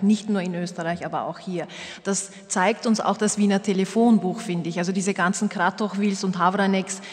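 A middle-aged woman from Turkey, a panel guest speaking in a measured, thoughtful way at a table microphone. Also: mid-range voice, darkish tone. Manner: measured, thoughtful, gestures while talking. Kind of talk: panel discussion. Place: wood-panelled hall, indoors. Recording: reverberant hall.

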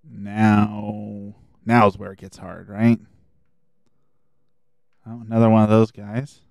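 A man streaming a video game, chatting casually and thinking aloud as he plays, his voice a little hoarse. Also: lowish voice, flat delivery, slow pace, a little mellow, dry recording, dull audio. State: slightly hoarse. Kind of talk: casual gameplay commentary.

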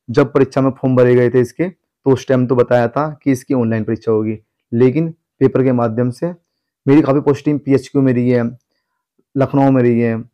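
A man's voice: mid-range voice, warm tone, dull audio. A man with a North Indian accent giving an informal exam guidance lesson as a coaching teacher, instructing students directly and repeating his advice. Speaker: exam coaching teacher, former police employee.

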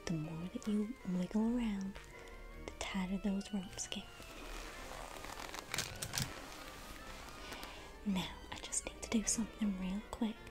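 A young woman playing a sweetly menacing female circus clown in an ASMR roleplay, coaxing and teasing in a breathy whisper. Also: mid-range voice, steady tone, normal speed, close to an everyday voice. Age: young adult. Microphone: close ASMR microphone. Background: music.

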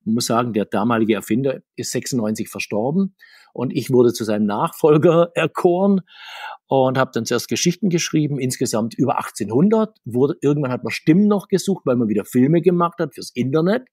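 A middle-aged man with a slightly husky voice answers questions in a loose, rambling way, often breaking off and restarting. His pitch jumps up when he stresses a point. The sound is dry and close, like a video call from an indoor room. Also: expressive, brisk, darkish tone, dry recording. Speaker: comics author and guest. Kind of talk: conversational interview answers.

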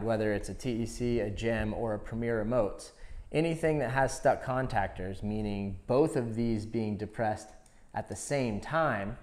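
Low-pitched man giving hands-on instructions. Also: darkish tone. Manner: conversational, step-by-step. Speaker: product demonstrator.

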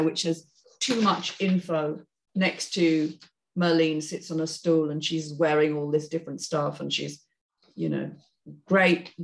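A middle-aged woman giving an informal spoken critique as panel host, direct, emphatic and explanatory, on a video call from home. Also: fairly low pitch. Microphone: video call computer microphone.

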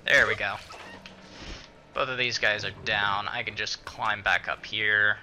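A man's voice: fairly low pitch, steady tone, measured pace, pushed and bright, a little hiss or hum. A man giving casual let's-play commentary, muttering to himself and swearing mildly as he plays. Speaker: gaming video host.

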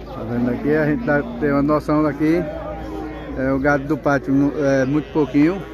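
A man's voice: on the high side, flat delivery, moderate pace, dull audio. A man filming a walk-around of an outdoor cattle market, chatting casually and calling out greetings and prices. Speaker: vlogger filming a cattle fair. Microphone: phone camera held by the speaker. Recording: outdoor crowd noise. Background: crowd chatter and cattle.

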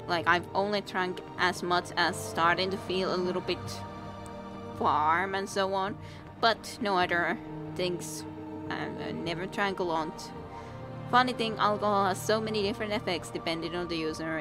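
A woman's voice: on the low side, fairly even intonation, normal speed, music in the background. A young woman streaming a role-playing game, chatting casually with viewers, laughing often and teasing them. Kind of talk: casual game stream chat, reading dialogue aloud.

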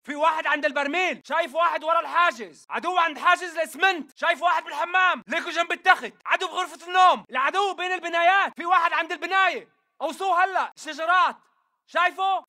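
A man with a Levantine Arabic accent playing a frantic insurgent lookout shouting clipped video game callouts. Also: very high-pitched, heavily acted. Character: frantic male insurgent lookout spotting enemies.

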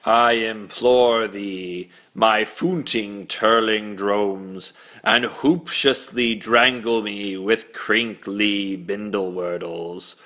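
Deep, slow, pompous alien captain. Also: male voice, fairly bright tone. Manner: slow, drawn-out, menacing declamation. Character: mean, callous, pompous male alien captain reciting poetry. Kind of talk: theatrical sci-fi comedy audio drama.

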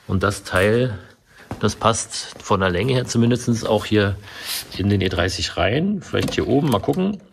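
Low-voiced man explaining car wiring. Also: animated, a little slow, creaky, a little hiss or hum. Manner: casual, explanatory, with frequent fillers. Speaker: car mechanic and video host. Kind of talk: hands-on workshop walkthrough. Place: indoor car workshop beside a stripped car.

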